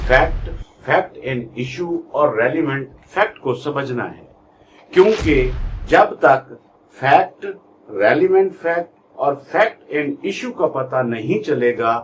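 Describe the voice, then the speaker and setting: A man with a Pakistani accent giving an instructional lecture in a deliberate, emphatic way, repeating key terms. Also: a little high. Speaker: law lecturer, YouTube channel host. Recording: faint background noise.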